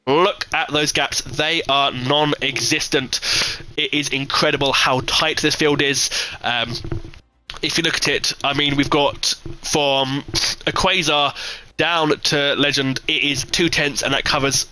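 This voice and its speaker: A man from the UK doing live esports race commentary, energetic and chatty, reading out live chat between calls. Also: measured pace, low-quality recording. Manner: energetic, jumps high in excitement at big moments; chatty, many fillers, reads and answers live chat. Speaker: sim racing commentator.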